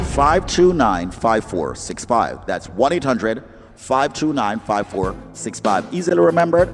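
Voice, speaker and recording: A man announcing a scripted legal disclaimer and ad read. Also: medium pitch. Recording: reverberant voice-over over music.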